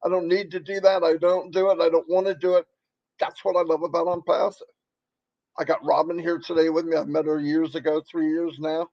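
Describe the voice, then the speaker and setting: A man with a crackly voice presenting on a live stream, giving a rambling, folksy motivational pitch and repeating points for emphasis. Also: little pitch movement, a little fast, gravelly, no room sound, muffled recording.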